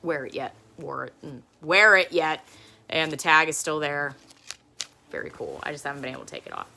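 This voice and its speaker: A woman in her thirties with a low speaking voice, chatting casually and rambling as she hosts a live-stream show and tell from home, laughing often. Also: big pitch swings, fairly quick, vocal fry, sharp tone.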